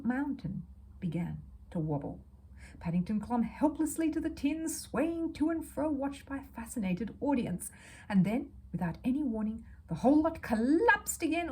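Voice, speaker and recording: Very expressive woman storyteller. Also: a little high, breathy edge, low-quality recording. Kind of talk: expressive children's audiobook narration.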